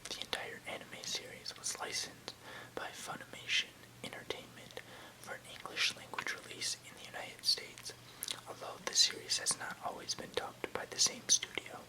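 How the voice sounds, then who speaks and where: A soft, fully whispered voice from an ASMR host. The delivery is unhurried and hushed, moving between casual remarks and careful reading of written text, with no voiced speech. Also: poor audio.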